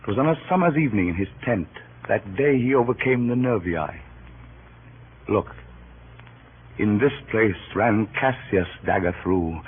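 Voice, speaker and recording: An actor playing a grieving Roman orator stirring a mob, in theatrical, declamatory old-time radio Shakespeare style. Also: male voice, on the low side, expressive, measured pace, pauses often, slightly rough. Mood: mournful, solemn. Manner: theatrical, declamatory, swinging from hushed grief to cries. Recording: muffled, hissy old radio recording.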